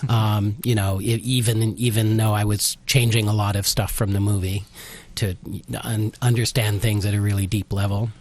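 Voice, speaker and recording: A man with a fairly low voice explains things as a guest in a casual radio interview. He speaks haltingly, piece by piece. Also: little pitch movement. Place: college radio station.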